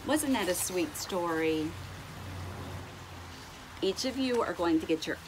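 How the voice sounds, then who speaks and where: A middle-aged woman reading a picture book aloud to young children, expressively, drawing out words and pausing to ask them questions. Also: lowish voice, expressive, background noise. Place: indoors at home on a couch.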